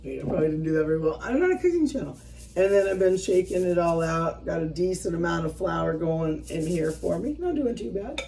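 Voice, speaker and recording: A woman's voice: fairly low pitch, animated, a little fast, gravelly, dark tone, recorded from a distance. A woman in her fifties chatting casually to her viewers as she works, rambling and self-deprecating, often trailing off mid-thought. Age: 50s. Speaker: vlogger. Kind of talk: casual chat while working by hand.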